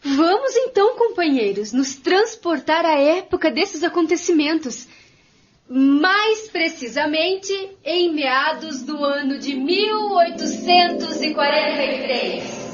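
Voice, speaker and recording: A woman's voice: high voice, expressive, slow pace. A woman reading scripted narration for a radio drama. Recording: dull lo-fi audio over music.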